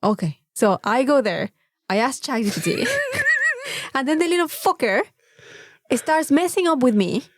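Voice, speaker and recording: A woman with a Mexican accent telling an anecdote in a casual, animated podcast chat, swearing freely. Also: high-pitched, measured pace, long pauses, no echo, clean studio sound. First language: Spanish. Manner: animated storytelling with frequent swearing. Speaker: podcast co-host.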